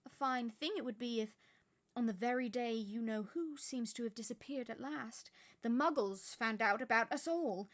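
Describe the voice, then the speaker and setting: Woman playing a stern, snappish lady professor: clipped, impatient, fairly high-pitched. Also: moderate pace, natural voice. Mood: irritable. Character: stern middle-aged female professor, irritable.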